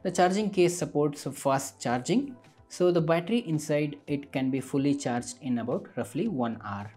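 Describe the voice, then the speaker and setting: A man's voice: on the high side, moderate pace, a little mellow, breathy edge, soft background music. A man narrating a scripted product review as a tech reviewer.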